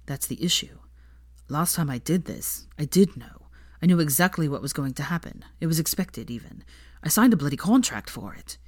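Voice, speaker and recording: A woman's voice: deep voice, animated, normal speed, darkish tone, no echo, studio quality. A woman voicing an anxious, stubborn young man in love, self-conscious and easily flustered, in an audiobook-style story reading. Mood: frustrated, flustered.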